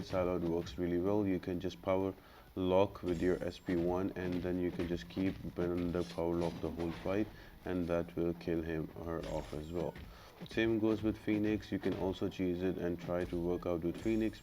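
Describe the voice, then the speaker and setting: A man's voice: sits low, noisy background. A man hosting a gaming guide, talking viewers through tactics in an unscripted, instructional way. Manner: instructional, addresses viewers as guys. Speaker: gaming video host. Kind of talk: unscripted game guide commentary.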